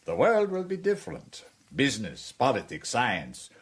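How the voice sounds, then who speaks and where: An actor playing a coolly menacing brainwashing mastermind in a radio drama, speaking in a measured, grandiose, pontificating way. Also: male voice, mid-range voice, wildly swooping pitch, breathy edge. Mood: grandly persuasive. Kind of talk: BBC radio drama acting.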